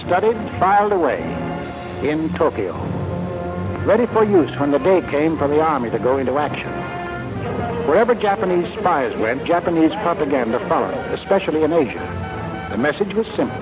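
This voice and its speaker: Higher-pitched American newsreel man, dull vintage soundtrack. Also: very animated, normal speed. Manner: emphatic, declamatory. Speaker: narrator. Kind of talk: 1940s propaganda film scripted narration. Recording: dull 1940s film soundtrack, music behind.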